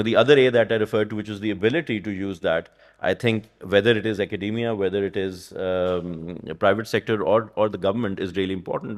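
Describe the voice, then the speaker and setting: A man speaks on a conference panel as an economist. His voice sits low, and he talks in a thoughtful, rambling way, tagging points with "you know" and "right?". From Pakistan. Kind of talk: panel discussion. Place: conference stage.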